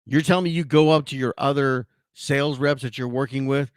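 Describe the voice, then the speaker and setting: A hoarse man hosting a sports talk show, ranting in a casual, animated way with frequent restarts. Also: medium pitch, fairly quick, no room sound, studio quality.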